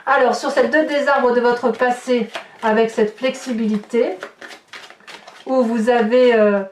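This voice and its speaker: A woman's voice: fairly high pitch, fairly even intonation, a little slow, slight background noise. A woman improvising a tarot reading for her viewers, speaking expressively and addressing them directly. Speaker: tarot reader hosting a horoscope video. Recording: roomy echo, distant microphone.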